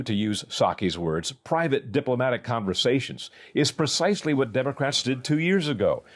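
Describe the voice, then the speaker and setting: American man narrating a scripted news report. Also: medium pitch.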